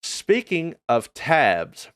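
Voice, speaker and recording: A man's voice: on the high side, wildly swooping pitch, very slow, a bit bright, clean tone, no echo, clean studio sound. A North American man giving a casual channel update as a gaming YouTuber, talking informally and earnestly in a rambling way.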